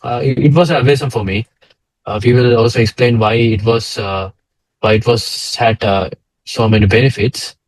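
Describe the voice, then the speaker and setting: Young man, from Sri Lanka, video call, dry sound, middle pitch, hesitant. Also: fairly even intonation, moderate pace, no room sound, rough recording. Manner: hesitant, many fillers and restarts. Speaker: co-host, data engineer. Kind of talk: casual presentation chat.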